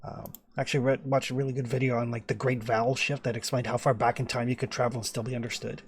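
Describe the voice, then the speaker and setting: A man's voice: mid-range voice, level tone, fast talker. Rambling man running a tabletop game. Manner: rambling and chatty, many fillers and restarts. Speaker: game master. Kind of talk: narrating a tabletop horror campaign.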